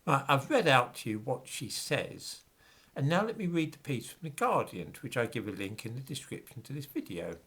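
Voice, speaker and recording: A man's voice: medium pitch, very animated, normal speed, fairly bright tone, slightly rough. A middle-aged man reading passages from books aloud and commenting on them with dismissive, sarcastic asides.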